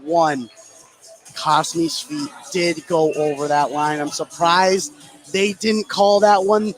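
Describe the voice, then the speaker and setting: A man's voice: on the high side, steady tone, slow, soft background music, rough recording. A man giving casual, hyped color commentary on a live basketball game in informal language. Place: indoor school field house.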